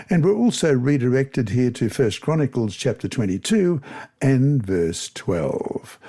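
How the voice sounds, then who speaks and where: Man, mellow warm tone, lively intonation, measured devotional reading, scripture recitation. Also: medium pitch. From Australia. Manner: measured, expressive reading aloud. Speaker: Bible lesson reader.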